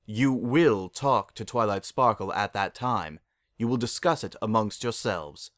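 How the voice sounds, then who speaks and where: A man's voice: fairly low pitch, measured pace, no room sound, studio quality, lightly acted. A man voicing a stern, imperious female pony princess of the night with formal, archaic, commanding speech. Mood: stern, insistent.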